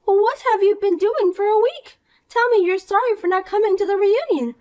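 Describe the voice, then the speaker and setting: A woman's voice: very high voice, normal speed, mellow, pitched above the performer's own voice. Woman doing a snooty mean-girl. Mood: scornful. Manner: condescending, insulting, bossy. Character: vain spiteful young woman, former school queen bee. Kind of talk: animated story dialogue.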